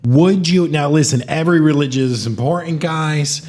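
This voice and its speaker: A man's voice: fairly high pitch, normal speed, darkish tone. A man hosting a casual podcast interview, informal and emphatic, chiming in with agreement and questions. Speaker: podcast host.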